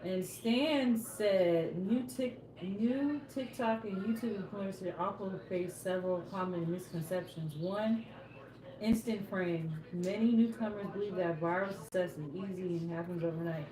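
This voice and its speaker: A woman's voice: medium pitch, unhurried. Playful woman co-host reading comments. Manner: playful, teasing. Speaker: co-host of a live cooking stream. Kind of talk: casual live-stream chat, reading viewer comments aloud. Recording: echoey room with crowd noise.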